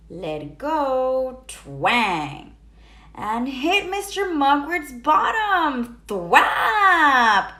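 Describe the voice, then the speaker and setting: High, animated woman reading in a roomy space. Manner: expressive, emphatic sound words. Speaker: teacher reading children's books aloud, channel host. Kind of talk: animated children's storybook read-aloud. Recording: roomy echo.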